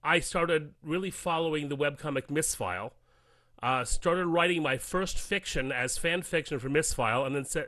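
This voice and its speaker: A man's voice: fairly high pitch, normal speed, pushed and bright, no room sound. A man recounting things casually as a guest in an interview. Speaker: podcast guest, voice actor.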